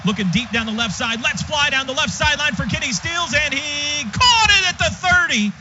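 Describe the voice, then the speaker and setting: An American man doing radio play-by-play of a football game in clipped present-tense phrases, excitable on big plays. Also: very high voice, normal speed, over loud music. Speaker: play-by-play announcer. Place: stadium radio booth. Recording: dull, noisy stadium radio broadcast.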